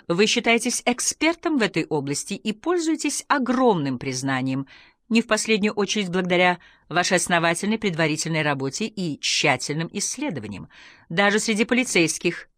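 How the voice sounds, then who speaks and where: A woman's voice: fairly low pitch, expressive, fairly quick, fairly bright tone, no echo, studio quality, voice pushed up. A woman voicing a formal, eager male TV talk-show host in an audiobook, with emphatic, polished delivery. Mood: eager, solemn.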